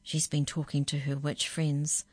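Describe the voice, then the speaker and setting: A woman's voice: low voice, monotone, a little slow, darkish tone, husky, dry recording. Wicked little brother figure, whispered, storybook style, woman reading. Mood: teasing. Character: teasing older brother, sly and whispery.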